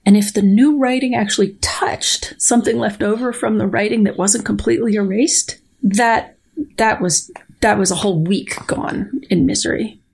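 A woman's voice: mid-range voice, fairly even intonation, moderate pace, vocal fry, darkish tone, husky. A woman, a biologist guest on a podcast, reading passages aloud and answering with wry humor. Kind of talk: podcast interview with dramatic readings.

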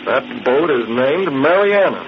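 An actor playing a confident crime-fighting gentleman hero in 1940s radio style, terse and commanding. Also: mid-range voice, lively intonation, measured pace, over loud music, natural voice. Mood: assured. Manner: assured, terse, giving orders. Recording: very noisy muffled old radio recording.

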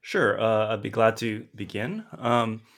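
A man from Southern California speaks as an academic guest on a podcast. He sits a little low in pitch and answers in a thoughtful, steady way, often pausing on an "uh" before going on. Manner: thoughtful, steady, with frequent fillers. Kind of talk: interview answer.

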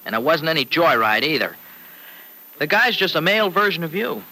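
An actor playing a fretful, protective, sardonic uncle in a folksy, hesitant way, in old-time radio serial style. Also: male voice, fairly high pitch, sing-song, fairly quick, pushed and bright, full character voice. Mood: irked, sardonic. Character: Uncle Freddy, gruff-hearted fretful family man, protective and sardonic. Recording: noisy vintage radio recording.

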